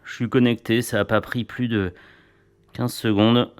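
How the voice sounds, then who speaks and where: A man's voice: mid-range voice, little pitch movement, a little slow, clean tone. A man reviewing motorcycle gear in a casual, chatty way, with playful little asides.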